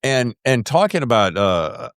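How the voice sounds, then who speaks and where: A man's voice: mid-range voice, big pitch swings, a little slow, a bit bright, clear, resonant tone, dry recording. A middle-aged man hosting a podcast in casual, enthusiastic and effusive interview chat, speaking close to the microphone. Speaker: podcast host.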